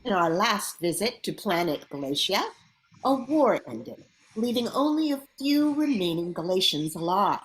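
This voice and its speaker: A woman's voice: mid-range voice, big pitch swings, normal speed, poor audio. A woman narrating a scripted radio play in a dramatic storytelling manner. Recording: faint background noise, clicks.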